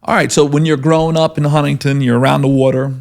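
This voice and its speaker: A man with a strong Long Island accent and a gravelly, fry-tinged voice. He hosts in a chatty way and keeps checking in with "right?" as he leads the guest along. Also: fairly high pitch, level tone, fast talker. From Long Island, New York. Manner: chatty, leading questions with tag "right?". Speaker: podcast host. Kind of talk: conversational interview.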